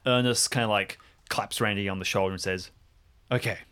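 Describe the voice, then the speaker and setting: A man casually improvising narration of his character's actions in a tabletop game, in halting phrases. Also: lowish voice, steady tone, sharp tone, smooth. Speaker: tabletop role-play player.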